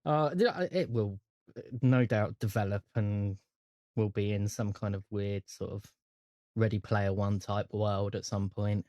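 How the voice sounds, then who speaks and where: A man's voice: fairly low pitch, a little slow, darkish tone, no echo, dull audio. A man in casual podcast chat, talking in an enthusiastic, rambling way. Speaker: podcast co-host.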